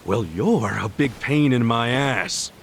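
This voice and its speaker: A man with an American accent playing a gruff, defensive, hot-headed yakuza ex-convict in a video game. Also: mid-range voice, animated, slow pace, slight background noise. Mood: combative. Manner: brash, defensive, slangy. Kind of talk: English video game dub acting. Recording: music behind the dialogue.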